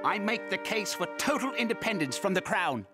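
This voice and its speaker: Fiery revolutionary orator over loud music. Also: male voice, high voice, sing-song, moderate pace. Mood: earnest, impassioned. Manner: oratorical, emphatic. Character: fiery, stubborn male revolutionary pamphleteer, impassioned and scornful. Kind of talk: animated history cartoon acting.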